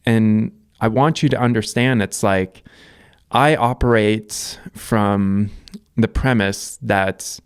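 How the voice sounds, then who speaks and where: A man in his thirties from Canada, talking through his life story to camera. His voice sits a bit low and sounds smooth and resonant, recorded close and dry. He speaks reflectively and loosely, with plenty of ums and restarts. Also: no room sound. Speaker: vlogger. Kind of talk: casual life-story monologue.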